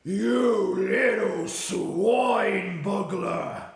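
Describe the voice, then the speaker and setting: A man's voice: sits high, sing-song, takes it slowly, a bit bright, reverberant, voice pushed up, exaggerated voice. A man voicing a greedy, brutish male giant who is suspicious and menacing, drawing out his threats.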